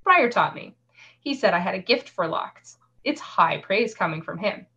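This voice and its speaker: A woman's voice: mid-range voice, big pitch swings, moderate pace, pushed and bright, dull audio. A woman reading the part of a composed, matter-of-fact girl in a fantasy novel, proud of her skills. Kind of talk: audiobook-style reading of fantasy novel dialogue.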